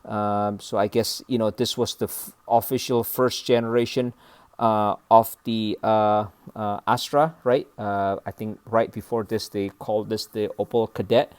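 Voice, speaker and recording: A man's voice: fairly low pitch, little pitch movement, unhurried, clean tone, dry recording. A man from Indonesia hosting a casual, unscripted model car review, speaking hesitantly in short broken phrases and often checking in with a brief questioning tag.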